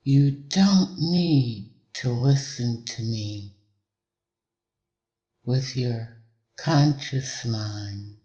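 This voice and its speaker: A man delivering a hypnotic induction in a measured, deliberate way, with long pauses between short phrases. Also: mid-range voice, dark tone, muffled recording.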